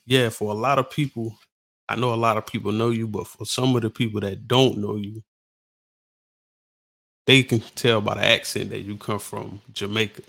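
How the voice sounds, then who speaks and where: A man's voice: fairly low pitch, fairly even intonation, normal speed, vocal fry, fairly bright tone. A man hosting a podcast interview, hyped and casual, packing his questions with "like" and "come on, man.". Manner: hyped, filler-heavy, lots of "like" and "come on, man". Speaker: podcast host.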